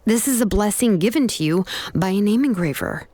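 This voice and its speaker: An actress playing a calm, reassuring young engraver and mentor in a video game story scene. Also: female voice, medium pitch, slightly rough, no room sound. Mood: gently reassuring. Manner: calm, unhurried, gently reassuring. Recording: music under the voice.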